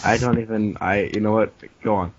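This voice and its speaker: A man's voice: on the low side, steady tone, a little fast, a little hiss or hum. A man giving casual, joking commentary as a co-host, with crude and sarcastic interjections. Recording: dull lo-fi recording.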